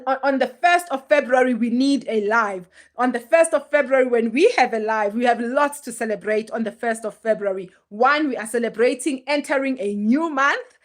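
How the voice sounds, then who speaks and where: High-voiced woman. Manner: chatty, emphatic, addresses viewers directly. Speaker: livestream host. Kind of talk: casual livestream chat.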